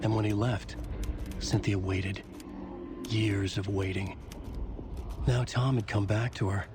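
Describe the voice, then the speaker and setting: A man playing a brooding narrator, telling a dark mystery tale in terse, measured sentences for a game. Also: on the low side, fairly even intonation, music in the background. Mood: brooding, ominous. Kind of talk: scripted literary narration.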